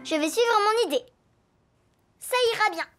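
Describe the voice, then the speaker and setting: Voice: very high voice, animated, normal speed. An actor voicing an impulsive, overconfident little girl in a children's cartoon, with music playing behind. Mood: absorbed. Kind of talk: animated children's cartoon dub.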